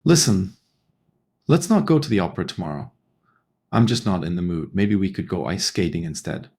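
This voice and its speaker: Man voicing a deep, husky, scheming husband. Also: expressive, normal speed, darkish tone, heavily acted. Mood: persuasive. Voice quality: low, husky. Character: Dimitri, scheming husband sweet-talking his wife. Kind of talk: audio story dialogue.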